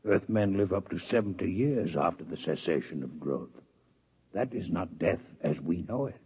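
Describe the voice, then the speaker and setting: A man playing a calm, formal male alien doctor from another planet in a 1950s radio drama, speaking with precise, unhurried diction. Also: low-pitched, slightly rough, exaggerated voice. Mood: measured. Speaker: visiting alien scientist. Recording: dull lo-fi 1950s radio broadcast.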